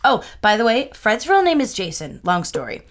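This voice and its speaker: A woman's voice: mid-range voice, wildly swooping pitch, moderate pace, noisy background. A woman playing a friendly, helpful neighbor in an audio drama, with a polite, conversational way of talking. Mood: welcoming, polite.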